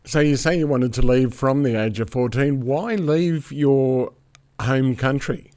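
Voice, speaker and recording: A man hosting a podcast and asking a guest calm, measured questions. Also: medium pitch. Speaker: podcast host. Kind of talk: interviewing a guest.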